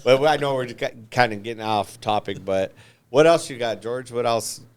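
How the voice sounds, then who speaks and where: A man's voice: medium pitch, normal speed, smooth. An American man hosting a podcast in a casual, informal chatty style. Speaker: podcast host. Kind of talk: casual chat.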